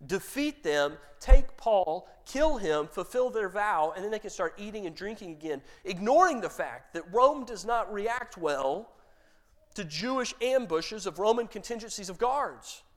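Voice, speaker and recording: Male preacher, higher register, animated storyteller voicing characters in his own voice. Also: a little slow. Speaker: pastor. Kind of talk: sermon storytelling.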